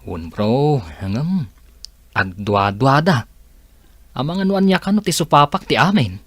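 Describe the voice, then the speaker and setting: A man as a lively Ilocano buddy go-between. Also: mid-range voice, unhurried, no room sound. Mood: explaining, hesitant. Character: curious, hesitant male buddy and go-between. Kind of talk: Ilocano radio comedy drama acting.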